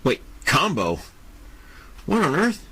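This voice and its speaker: A man's voice: on the high side, wildly swooping pitch, takes it slowly, bright, forceful tone, slightly rough, exaggerated voice. A man playing a flustered, startled superhero in an amateur audio drama, stammering, exclaiming and repeating himself in protest. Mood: bewildered.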